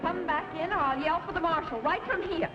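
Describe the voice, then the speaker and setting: An actress playing a spirited, righteous young schoolteacher in an old-time radio western. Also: female voice, very high voice, a little fast. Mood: defiant. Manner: feisty, righteous. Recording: muffled old radio recording with music.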